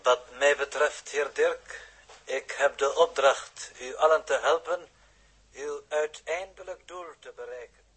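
A man acting a formal, pedantic, unfeeling expedition aide in a 1960s radio drama, with stiff, drawn-out, pompous speech. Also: on the high side, fairly even intonation, a bit bright, slightly rough. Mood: formal, servile.

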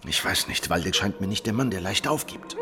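A man's voice: deep voice, animated, a little fast, over music. An actor playing a wary, battle-ready Anabaptist swordsman, terse and resolute, in a German historical radio drama. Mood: reflective.

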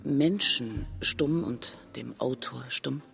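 A creaky-voiced, earnest literary interviewer. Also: female voice, very deep voice, slow, faint music behind, heavily acted. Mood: earnest, probing. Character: earnest, probing literary interviewer, female, high-flown questions. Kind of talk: radio drama interview.

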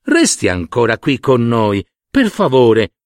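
Humble kindly peasant, acted by a man, storybook tale, pleading, insistent, very animated pitch. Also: takes it slowly, no echo, clean studio sound. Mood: pleading, caring. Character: humbled crippled peasant couple, kindly and hospitable.

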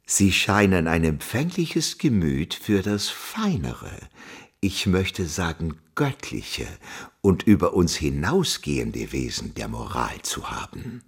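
Radio drama: unctuous school chaplain, very husky, ponderous and condescending, with a swooping delivery. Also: male voice, deep voice, big pitch swings, exaggerated voice. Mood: unctuous probing. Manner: halting, ponderous, pious phrasing. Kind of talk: German radio play acting.

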